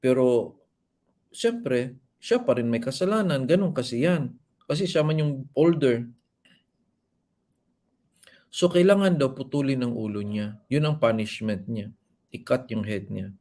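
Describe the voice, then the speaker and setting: A man's voice: mid-range voice, moderate pace, long pauses, a little mellow. A man with a Filipino accent reading a children's story and retelling it simply and informally, as if to young kids. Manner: explains and repeats simply, as if to children. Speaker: bedtime storyteller.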